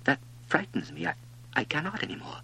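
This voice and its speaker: A man's voice: fairly low pitch, very animated, slow pace, a bit bright, slight background noise, exaggerated voice, low-quality recording. A man voicing a polite, timid, curious young boy prince in a storybook, hesitant and earnest. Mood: abashed.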